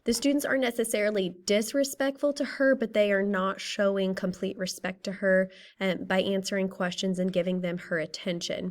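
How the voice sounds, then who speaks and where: A woman in her thirties with a slightly raspy, tired voice, methodically presenting a school case study for a class. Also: medium pitch, a little fast, few pauses. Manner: steady, methodical, works through a list of questions. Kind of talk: reading a prepared class presentation.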